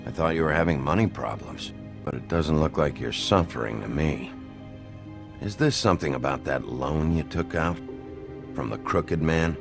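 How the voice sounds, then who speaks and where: Deep, gruff hard-boiled investigator. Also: male voice, moderate pace, music in the background. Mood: dry suspicion. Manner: blunt, swearing, confrontational. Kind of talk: video game drama acting.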